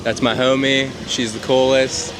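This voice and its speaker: A man's voice: medium pitch, little pitch movement, a little slow, noisy background. A man answering street interview questions in casual, slangy speech.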